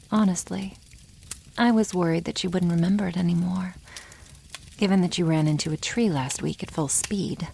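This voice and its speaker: A woman plays a proud, teasing dragon girl in an intimate ASMR roleplay. Her soft, half-whispered voice moves very slowly and crackles with vocal fry. She sounds wry and a little condescending toward humans, over faint background ambience. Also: on the low side. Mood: wry, musing. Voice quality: soft, breathy, often near whisper. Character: proud, teasing young female dragon, protective elder sister. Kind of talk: ASMR roleplay, addressing the listener.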